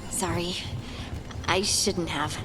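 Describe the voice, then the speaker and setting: An actress playing an earnest, hopeful dwarf scout in a fantasy game, with a conversational delivery. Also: female voice, on the low side, fairly even intonation, slow pace, loud music behind the voice. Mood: cautious. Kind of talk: fantasy video game acting.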